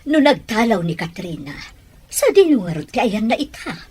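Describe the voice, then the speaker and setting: Husky, concerned mother played by an actress. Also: mid-range voice, big pitch swings, moderate pace, darkish tone. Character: concerned, sympathetic mother of grown son. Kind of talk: Ilocano audio drama acting. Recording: music behind the voice.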